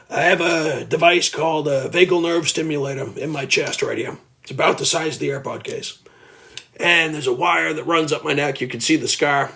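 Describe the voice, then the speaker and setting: An earnest young man in his early twenties hosts a casual livestream from home and speaks into his phone. He rambles a little and reads viewer comments aloud, and his voice turns gravelly from time to time. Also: a little high, pushed and bright. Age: early 20s. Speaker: livestream host.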